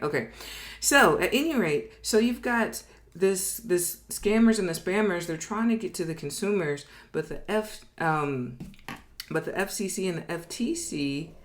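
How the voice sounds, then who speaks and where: A woman presenting an informal lesson in a conversational, emphatic way, acting out imagined quotes and often checking that listeners follow. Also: on the low side. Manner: conversational, emphatic, frequently checks in with "okay?" and "right?". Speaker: business educator, presenter. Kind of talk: informal explainer lesson at a board.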